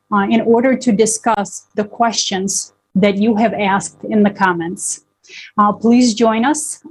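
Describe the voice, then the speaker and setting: A woman hosts an online conference in a measured, formal tone. Her voice sits at an ordinary middle pitch, and she reads her introductions calmly and politely. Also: low-quality recording. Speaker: conference moderator. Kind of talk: formal event hosting.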